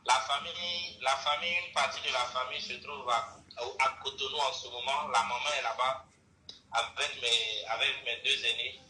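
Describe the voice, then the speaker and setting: A man with a West African French accent calling in by phone, talking haltingly and repeating himself over a poor connection. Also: medium pitch, fairly even intonation, bright, forceful tone, far from the mic. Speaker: caller. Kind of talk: casual phone call. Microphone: distant-sounding phone line. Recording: harsh, noisy, echoing phone connection.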